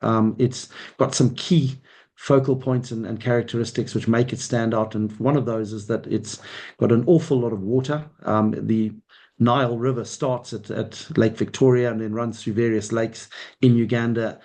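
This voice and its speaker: A man's voice: mid-range voice, a little mellow. This is a middle-aged man with a Zimbabwean accent. He speaks as an executive being interviewed, and he explains things at some length. His answers move step by step in a conversational way. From Zimbabwe, Southern Africa. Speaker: mining company chief executive being interviewed.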